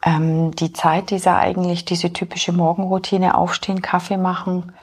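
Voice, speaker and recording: A woman in her forties, born in Poland and raised in Germany, speaking as an interview guest in a hesitant way with many filler pauses. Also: lowish voice, completely flat delivery, breathy edge. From born in Poland, grew up in Germany. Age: 40s. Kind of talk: personal interview talk.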